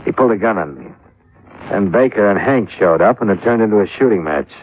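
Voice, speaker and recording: An actor playing a wry, stubborn American insurance investigator in a clipped 1950s radio detective style. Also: male voice, on the low side, expressive, brisk, lightly acted. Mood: matter-of-fact. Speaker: lead actor and narrator. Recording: muffled, noisy old radio recording.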